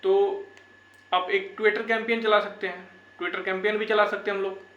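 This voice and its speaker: A man's voice: high voice, little pitch movement, normal speed, dull audio. A young man giving informal, earnest advice to viewers as a channel host, repeating his points to urge them on. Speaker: YouTube channel host for exam candidates. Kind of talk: informal advisory talk to viewers. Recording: echoey room with faint background noise.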